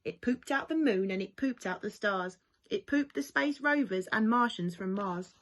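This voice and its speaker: A woman reading a rhyming children's storybook aloud in an expressive, lilting way, as school staff do for young pupils. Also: medium pitch, moderate pace. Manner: animated, lilting rhyming delivery.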